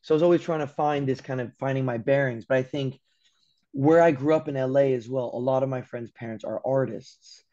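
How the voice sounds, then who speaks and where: Young LA man, guest on a video-call podcast; lively storyteller who voices quotes and swears, mellow tone, dull audio. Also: mid-range voice, fairly quick. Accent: American. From Los Angeles, USA. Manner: animated storyteller, acts out quotes, swears casually. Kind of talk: casual interview chat. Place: room at home by a window.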